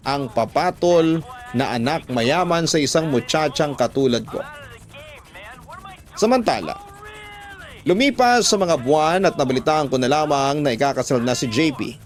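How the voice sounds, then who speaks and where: Filipino guy reading out a love letter story, first person, like a radio drama narrator. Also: mid-range voice.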